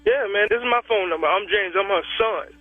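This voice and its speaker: Man in his thirties, high voice, heated and protective, stammers and repeats himself, heard over a dry tinny phone line. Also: fast, no echo. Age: 30s. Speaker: prank call target.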